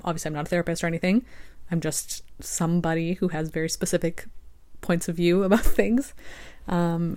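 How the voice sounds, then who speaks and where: A woman hosting a solo podcast, talking in a reflective, conversational way, her voice a little raspy. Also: sits low, darkish tone. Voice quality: slightly raspy. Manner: reflective, conversational, frequent fillers. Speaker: podcast host. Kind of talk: casual solo podcast monologue.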